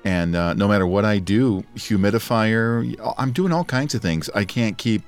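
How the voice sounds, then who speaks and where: A man's voice: lowish voice, moderate pace, clean tone, soft background music. A man co-hosting a podcast in casual chat, playful and joking, his voice scratchy and dry from allergies. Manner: playful, joking, laughs often. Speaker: podcast co-host.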